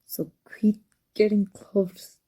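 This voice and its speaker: A woman with a Mexican accent playing a proud, secretly devoted villainess in an intimate ASMR roleplay, halting and emotional. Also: mid-range voice, steady tone, dark tone, husky. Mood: angry, guarded. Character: proud, flustered, secretly devoted villainess with life-transfer power.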